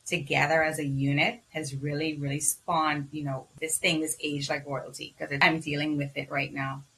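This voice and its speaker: Bahamian-accented woman, doctor guest; low, bright tone, lively with fillers. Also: moderate pace, a little hiss or hum, poor audio. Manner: lively, many ums, repeats words for emphasis. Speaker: physician guest. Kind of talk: casual interview talk.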